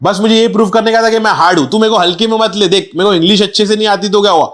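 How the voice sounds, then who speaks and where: A man's voice: sits high, steady tone, racing, fairly bright tone. A young man, a guest on a podcast, speaking candidly and reflectively in casual street slang. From India. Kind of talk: casual interview chat.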